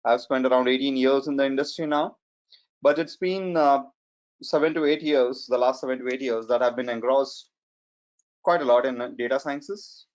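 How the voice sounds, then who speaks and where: Man, strong indian accent, forties, online webinar lecture, mid-pitched, dull muffled audio. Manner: measured, hesitant with fillers and restarts. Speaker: data science trainer. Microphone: computer mic on a video call.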